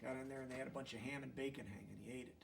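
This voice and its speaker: A man reading a children's story aloud and pausing to explain things simply, like a teacher with young listeners. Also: mid-range voice, steady tone, gravelly, recorded from a distance, noisy background. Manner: pauses to explain words and check understanding in plain language.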